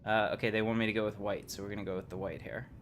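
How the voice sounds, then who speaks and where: A man hosting a livestream, casually thinking aloud as he plays, in a halting, unhurried, musing way. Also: fairly low pitch, level tone, slight background noise. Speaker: livestream host. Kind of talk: casual live game commentary, thinking aloud.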